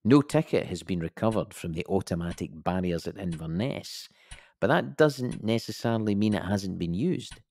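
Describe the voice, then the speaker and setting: An actor playing a measured, formal investigator of a suspicious death in an audio drama. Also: male voice, deep voice, lively intonation, darkish tone. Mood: puzzled, methodical. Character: dry, officious male investigator of a suspicious death.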